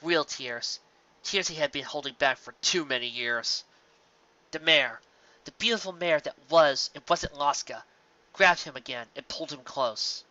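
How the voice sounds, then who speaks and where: A man's voice: fairly high pitch, measured pace, pushed and bright, no echo. A man reading scripted fan-fiction narration as a dramatic storyteller, intense and emotive. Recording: dull lo-fi home recording.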